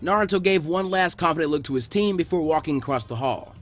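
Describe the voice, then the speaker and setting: A man reading fan fiction aloud as an expressive scripted narration. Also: fairly high pitch, quick pace, faint music behind.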